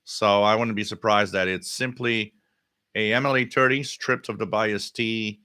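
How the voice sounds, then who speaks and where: Male livestream host. Slightly low, crisp bright tone, halting off-the-cuff delivery, casual replies to chat. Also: steady tone, dry recording. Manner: halting, thinking aloud. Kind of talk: casual live stream chat, answering viewer comments.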